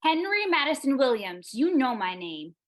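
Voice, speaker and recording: Actress as spirited young forties woman, high voice, pert, hopeful, staged script read. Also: sing-song, moderate pace. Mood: sassy. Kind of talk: live screenplay table read.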